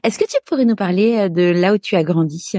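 A woman's voice: on the low side, brisk, dark tone, no echo, clean studio sound. A woman hosting a podcast, asking informal, friendly questions. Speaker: podcast host. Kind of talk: casual interview questions.

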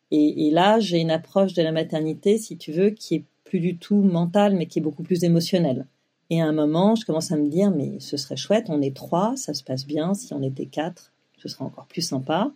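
A woman's voice: low-pitched, dark tone, breathy edge. A woman in her forties telling her own story as an interview guest, with many fillers and restarts. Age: 40s. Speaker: guest, web publisher.

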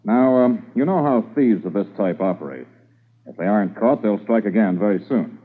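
Senior police inspector, lowish voice, in charge but polite, tinny old radio. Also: male voice, animated. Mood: grave, instructing. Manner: deliberate, commanding but courteous. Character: senior police inspector, measured, authoritative superior. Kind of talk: 1950s radio drama acting. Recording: tinny 1950s radio recording.

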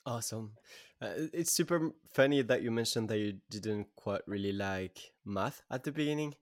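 Hesitant podcast host, low voice. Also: male voice, normal speed, no echo, clean studio sound. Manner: hesitant, many fillers and restarts. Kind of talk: casual interview.

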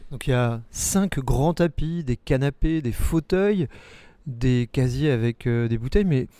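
A man's voice: mid-range voice, measured pace, dark tone, clean tone, no echo. A man reporting on site, asking curious, playful questions. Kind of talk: on-site interview.

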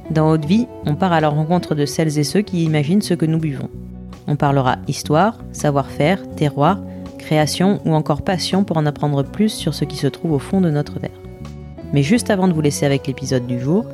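A woman hosting a podcast, introducing the show and asking friendly interview questions with slight hesitations. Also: low-pitched. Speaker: podcast host.

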